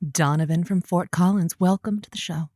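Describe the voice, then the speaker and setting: A woman's voice: on the low side, moderate pace, warm tone, no echo, studio quality, natural voice. A woman playing a chatty, sympathetic host of a comedic radio call-in show, with a flowery way of talking. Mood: concerned, surprised. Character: chatty, sympathetic, morbidly curious female radio advice host.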